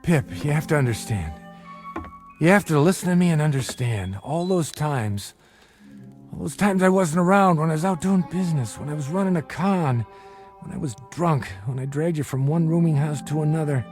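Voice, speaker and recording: A man's voice: a little high, animated, moderate pace, a little mellow, soft background music, close to an everyday voice. An actor playing a desperate, remorseful middle-aged father and small-time hustler, urgent and emotional, in radio-drama style. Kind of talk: dramatic radio-play acting.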